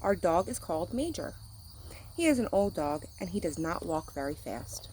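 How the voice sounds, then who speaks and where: A woman's voice: on the low side, very animated, moderate pace, a little mellow, slight background noise. A woman reading a picture book aloud to children, speaking simply like a teacher to young listeners. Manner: acts out sound words.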